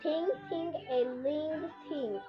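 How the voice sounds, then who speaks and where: A woman's voice: sits high, slow pace. A young girl reading a children's picture book aloud, stumbling over words and sounding them out, with casual asides. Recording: muffled lo-fi home recording with prominent music. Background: music.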